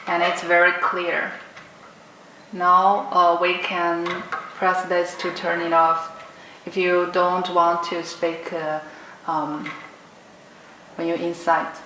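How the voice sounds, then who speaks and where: A young woman giving an unscripted product demonstration in short, simple, halting phrases. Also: lowish voice, level tone, sharp tone. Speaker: product demonstrator for an electronics brand. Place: indoor room at a table with green backdrop. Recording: roomy echo, clearly noisy background.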